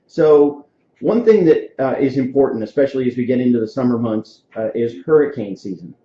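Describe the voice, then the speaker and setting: A man's voice: medium pitch, fairly quick, warm tone. A man in his forties from Florida, hosting a casual podcast interview and telling stories with frequent fillers. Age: 40s. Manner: friendly, storytelling, frequent fillers. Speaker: podcast host. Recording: echoey room, dull lo-fi sound.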